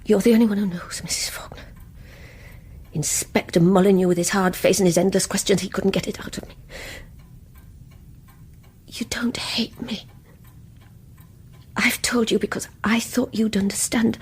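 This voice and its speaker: An actress playing a guilt-ridden, envious woman confessing in an intense radio play performance, with a breathy voice. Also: medium pitch. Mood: confiding, pleading. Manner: intense, wavering between hushed confiding and anguished outburst. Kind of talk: radio play acting, dramatic confessional monologue.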